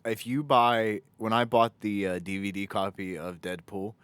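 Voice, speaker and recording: A man's voice: lowish voice, steady tone, moderate pace, fairly bright tone, smooth, dry recording. A young man co-hosting a podcast in casual banter, rambling and swearing freely. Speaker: podcast co-host.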